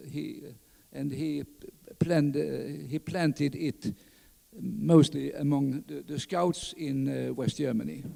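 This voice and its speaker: An older man from southern Sweden with a Swedish accent, speaking English as a fourth language, giving a halting talk at a lectern full of fillers and restarts. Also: fairly high pitch, gravelly, warm tone. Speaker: conference speaker. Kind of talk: reminiscing conference talk. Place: large conference hall. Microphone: lectern mic. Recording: reverberant hall.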